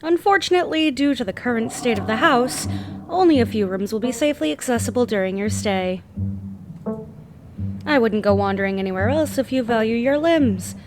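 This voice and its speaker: A woman's voice: fairly high pitch, animated, a little fast, over music, close to an everyday voice. A woman voicing a guarded, sardonic young woman in a visual novel, with dry, clipped, sarcastic delivery. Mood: dry, warning. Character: guarded, sardonic young woman, aloof cousin of a decaying estate. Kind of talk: fan-dubbed visual novel dialogue.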